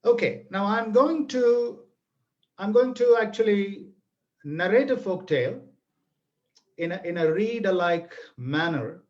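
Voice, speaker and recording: A middle-aged man with an Indian accent and Tamil as his first language, presenting and narrating in a deliberate, theatrical storytelling style with emphatic repetition. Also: high voice, expressive, a little mellow. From Tamil Nadu, India. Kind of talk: theatrical read-aloud storytelling in an online lesson. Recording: dull, lo-fi online webinar audio.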